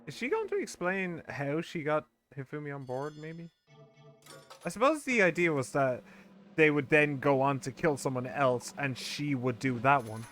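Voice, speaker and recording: A man's voice: fairly high pitch, very animated, moderate pace, a bit bright. A man hosting a let's play who chats, jokes and comments casually on the game. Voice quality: chatty and joking, reading game text aloud. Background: game music.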